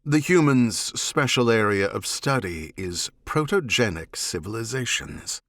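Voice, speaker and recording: Man as a cool, measured alien enforcer. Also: lowish voice, expressive, fairly bright tone, dry recording, clean studio sound, exaggerated voice. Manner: measured, formal, unhurried. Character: cool, evasive alien oath-bound bounty hunter, quietly defiant. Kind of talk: audiobook character voice, science fiction.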